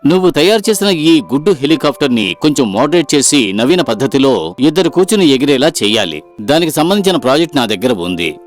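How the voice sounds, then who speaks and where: A man's voice: medium pitch, very animated, a little fast, faint music behind, natural voice. A man voicing a confident, encouraging businessman in a cartoon moral story, speaking in a businesslike way. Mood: businesslike, enthusiastic.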